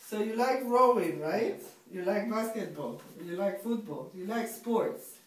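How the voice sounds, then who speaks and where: Slow patient woman teacher, echoey room. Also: medium pitch, lively intonation. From Spain. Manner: slow, patient, repeats questions for students. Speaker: English teacher. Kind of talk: beginner English lesson. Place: classroom. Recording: echoey room, far from mic, faint hiss.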